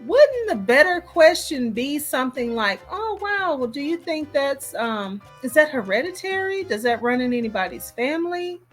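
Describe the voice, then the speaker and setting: A woman giving casual, disapproving reaction commentary as a host, emphatic and repeating points to drive them home. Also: on the high side. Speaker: host reacting to a recorded call.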